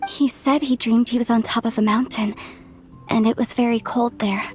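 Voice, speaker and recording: Woman playing polite, high-voiced young nun. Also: fairly even intonation, normal speed, darkish tone, faint music behind, close to an everyday voice. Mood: calm, courteous. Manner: polite, composed. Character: gentle, courteous young female nun and nurse. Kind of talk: animated game voice acting.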